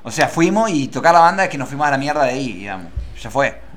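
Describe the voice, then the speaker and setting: A man's voice: mid-range voice, big pitch swings, quick pace, fairly bright tone. A man with an Argentine Spanish accent co-hosting a radio show, bantering casually and slipping into joking, theatrical announcer bits. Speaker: radio co-host.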